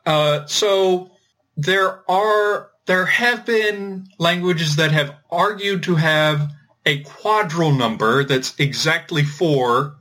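A man explaining things informally as a podcast host, thinking aloud in a halting way with repeated words. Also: a little high, bright, forceful tone. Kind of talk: informal explanatory discussion of linguistics.

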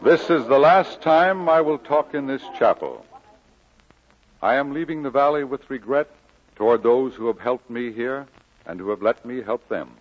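A man's voice: mid-range voice, expressive, moderate pace, natural voice. A man acting an embittered preacher in an old radio drama, solemn and oratorical as he rebukes his congregation. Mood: solemn reproach. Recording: dull old 1940s radio recording.

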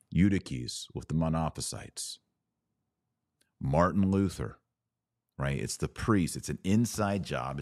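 A man hosting a religious podcast, preaching in an earnest, emphatic way with deliberate, broken-up phrasing. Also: extremely low pitch, dry recording, clean studio sound. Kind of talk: preachy video commentary.

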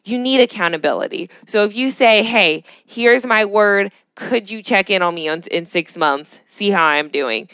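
A woman's voice: mid-range voice, lively intonation, normal speed, hardly any pauses, a bit bright, clear, resonant tone, no echo. A woman co-hosting a podcast, speaking casually and reflectively in an upbeat, enthusiastic way. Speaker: podcast co-host.

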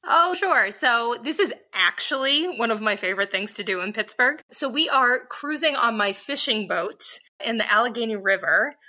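A woman's voice: a little high, lively intonation, normal speed, hardly any pauses, bright, forceful tone, muffled recording. A young woman from Boston, a cardiology fellow guest, chatting cheerfully. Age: young adult. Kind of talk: casual podcast conversation.

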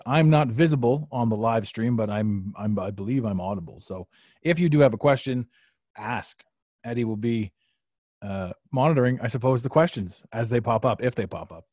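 A man hosting a live podcast, talking casually and rambling, repeating phrases and filling pauses with "uh.". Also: medium pitch, darkish tone, dry recording. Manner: rambling, repeats himself, many fillers. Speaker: podcast host. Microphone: podcast mic on a live stream.

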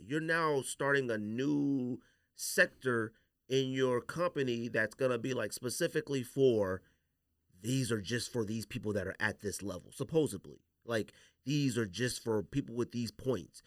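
Animated man debating on a podcast. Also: medium pitch, no room sound, studio quality. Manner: animated, opinionated, slangy. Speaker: podcast host. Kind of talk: casual sneaker podcast debate.

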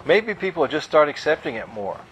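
A man's voice: fairly high pitch, sing-song, a little fast, a bit bright, dull audio, a little hiss or hum, voice pushed up. Man doing a wisecracking, gossipy husband. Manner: chatty, wisecracking, forced folksy chuckle. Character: joking, gossipy husband, nervous under the banter.

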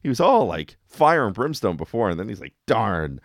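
Animated low-voiced man recapping cartoons. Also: moderate pace, no room sound, clean studio sound. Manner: wry, playful, dramatic emphasis. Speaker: podcast host. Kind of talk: casual episode recap.

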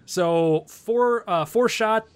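Podcast host guy, kinda higher voice, rambles and giggles a lot. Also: extremely slow, clear, resonant tone. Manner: giggly, rambling, laughs often. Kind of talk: casual movie review chat.

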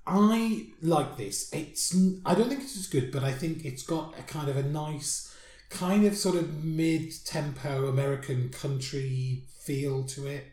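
A man's voice: on the high side, measured pace, a little mellow. A man co-hosting a casual chat about songs, rambling and laughing often. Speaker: podcast co-host. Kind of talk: casual music review chat. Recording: roomy echo.

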